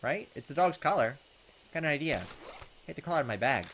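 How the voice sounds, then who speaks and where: A man hosting a gaming video, reading visual novel text aloud and adding jokey, expressive, sometimes profane commentary. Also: on the high side, fast talker, a little hiss or hum. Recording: loud game music behind the voice. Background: game soundtrack.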